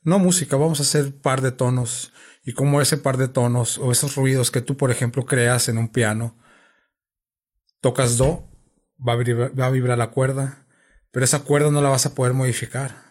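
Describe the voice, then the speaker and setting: A man's voice: medium pitch, steady tone, normal speed, darkish tone. A man, a guest sound engineer in a music studio, patiently explaining synthesizers and sound, repeating and restarting words as he thinks.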